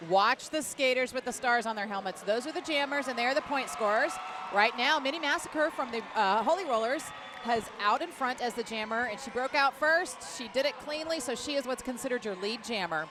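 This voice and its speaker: A woman with an American accent giving live roller derby play-by-play, excited, emphatic and chatty. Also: on the high side, fairly quick. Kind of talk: live play-by-play commentary with casual banter. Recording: noisy venue sound behind commentary. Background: loud venue noise.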